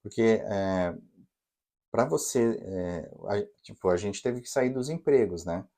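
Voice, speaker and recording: A Brazilian man with a mellow, warm tone co-hosts a casual podcast chat. He explains things patiently and speaks straight to his listeners with practical advice. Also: fairly low pitch, slow. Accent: Brazilian Portuguese. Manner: explanatory, advising listeners directly. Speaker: podcast co-host. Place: living in Canada.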